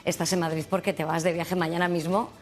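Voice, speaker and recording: A woman's voice: fairly low pitch, little pitch movement, quick pace, soft background music. A woman hosting a television interview, asking long questions with some hesitations. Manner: chatty, winding questions with hesitations. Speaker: TV interviewer host.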